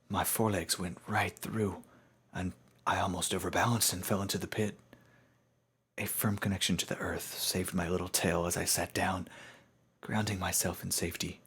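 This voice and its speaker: Deep, breathy male story narrator. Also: little pitch movement, a bit bright. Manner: measured, solemn storytelling. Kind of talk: dramatic audiobook narration.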